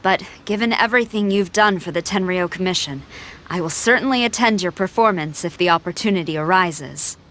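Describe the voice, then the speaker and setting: An actress playing a formal, dutiful female samurai general in a video game, measured and authoritative. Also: on the low side, a bit bright, a little hiss or hum, natural voice. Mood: formal grateful.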